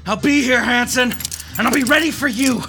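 An actress playing a defiant, desperate captive police detective in a horror radio drama, urgent and forceful. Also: female voice, a little high, moderate pace, music in the background, close to an everyday voice. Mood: defiant, angry.

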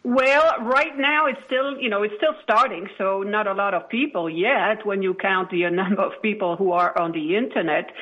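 A woman speaks as an interview guest, explaining in a conversational way. Her voice sits at a medium pitch, and the recording sounds somewhat dull, like online radio. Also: moderate pace, few pauses. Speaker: interview guest, author show founder.

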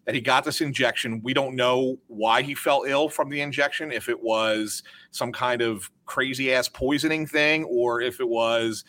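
A man, a hockey reporter, explaining news in casual sports talk, measured and cautious with frequent fillers. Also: medium pitch, fairly even intonation, a bit bright.

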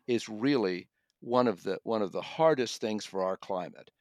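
A man's voice: fairly low pitch, moderate pace, dry recording. Man reviewing books, dry close sound. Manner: discursive, often rephrasing his point. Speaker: audiobook reviewer and podcast co-host. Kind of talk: conversational review.

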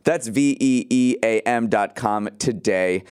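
A man's voice: on the low side, takes it slowly, darkish tone, smooth. A man co-hosting a news podcast, mixing scripted news reading with conversational commentary. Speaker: podcast co-host.

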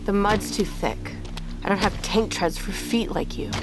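A woman's voice: mid-range voice, unhurried, loud music behind the voice, lightly acted, low-quality recording. A woman playing an exasperated, defiant captive labourer in a science fiction audio drama, emphatic and protesting. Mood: exasperated, alarmed.

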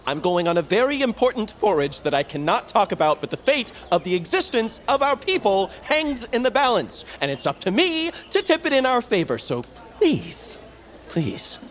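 A man's voice: sits high, very animated, normal speed. An actor playing an earnest, theatrical young tribesman on a quest in an audio drama. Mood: earnest, exasperated.

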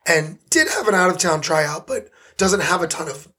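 A chatty man co-hosting a theater podcast, his voice husky and fading. He talks a lot and with enthusiasm, close to the microphone. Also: sits high, animated, moderate pace, bright, forceful tone. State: losing his voice. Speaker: co-host. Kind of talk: casual chat.

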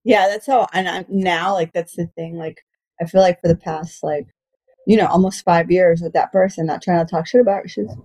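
A woman's voice: fairly low pitch, fairly even intonation, fairly quick, mellow, rough recording. A woman in her late thirties chatting casually and candidly, with a frank, profane, self-joking way of talking. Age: late 30s. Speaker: comedian and podcast talker.

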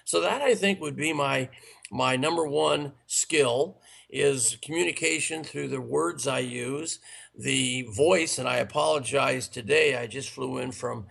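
A man with a raspy, worn voice from long speaking, earnestly giving sales and management advice in an interview. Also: mid-range voice, a little slow, a bit bright. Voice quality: gravelly. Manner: earnest, instructive, listing points. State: raspy, tired voice. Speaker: sales trainer guest.

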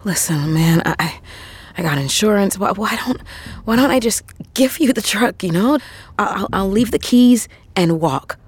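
A stammering nervous trucker. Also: female voice, mid-range voice, lively intonation, moderate pace, husky. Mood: pleading. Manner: stammering, hesitant. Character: nervous female truck driver, working-class mother. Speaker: radio drama character.

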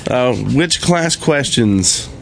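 A man's voice: mid-range voice, expressive, drawn-out words, creaky. A man co-hosting a podcast in casual chat, recorded live at a convention. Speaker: podcast co-host. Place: convention, in front of a live audience. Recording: live convention room with background noise.

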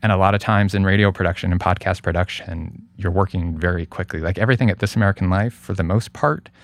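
A middle-aged man speaking as an interview guest in a casual, thoughtful, halting way, often hedging what he says. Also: sits low, creaky, no echo. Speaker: guest, radio producer.

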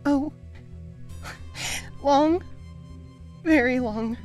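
A woman playing a lonely, anxious space traveller in a sci-fi audio drama, speaking haltingly. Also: high-pitched, dull audio, music in the background. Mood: hesitant. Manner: hesitant, halting. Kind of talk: science fiction audio drama acting.

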